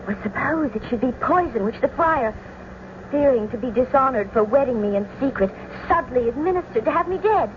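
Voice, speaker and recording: A high-voiced young noblewoman of classical radio tragedy, despairing and devoted. She declaims her lines with solemn, poetic weight. The old recording is dull, with clear noise over it. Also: animated, a little fast. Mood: anxious, resolute.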